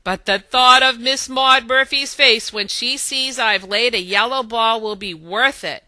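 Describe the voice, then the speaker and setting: Woman acting a female storybook hen, grumbly, obliging, indulgent, forceful, dry recording. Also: a little high, unhurried, no room sound. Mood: grumbling.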